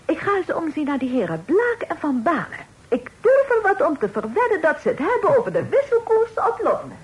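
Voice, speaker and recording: A brisk, chatty aunt in an old-fashioned radio play. Her voice sits high and swoops lively, and she briskly steers the conversation where she wants it. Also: dull audio. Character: chatty, brisk aunt hosting a garden party. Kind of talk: period radio drama acting.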